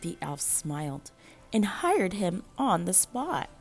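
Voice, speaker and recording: A woman reading a children's picture book aloud in an animated, measured storytelling manner with dramatic pauses, like a school librarian. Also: fairly low pitch, faint music behind.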